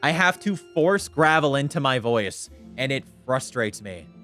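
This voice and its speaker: A man streaming, chatting casually and candidly with a clear, smooth voice, rambling and swearing freely. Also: medium pitch, expressive, measured pace, bright, forceful tone, faint music behind. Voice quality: clear voice without gravel. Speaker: VTuber streamer. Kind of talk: casual livestream chat.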